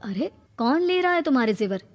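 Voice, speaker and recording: A woman's voice: high voice, big pitch swings, a little fast. A woman voicing an eager, doting, naive young husband who chats enthusiastically in a family comedy story. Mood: excited. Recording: long reverb, music behind.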